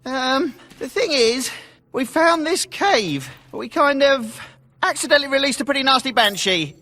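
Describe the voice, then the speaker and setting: Young woman in trouble, nervous, pleading, high-pitched, animated, long reverb, fantasy game. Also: normal speed. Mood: sheepish, pleading. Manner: hesitant, pleading. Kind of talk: fantasy video game acting.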